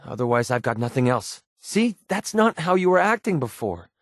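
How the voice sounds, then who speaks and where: A man's voice: mid-range voice, sing-song, moderate pace, no room sound, clean studio sound, lightly acted. A man voicing a wary young mercenary companion in a video game, sardonic, streetwise and blunt. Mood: earnest. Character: wary young male mercenary companion in a post-apocalyptic wasteland, sardonic, guarded.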